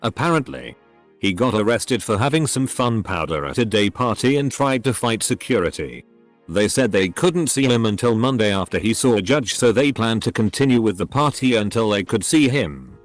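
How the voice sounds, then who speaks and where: A man's voice: lowish voice, expressive, moderate pace, soft background music. A man reading out online stories as a scripted narration.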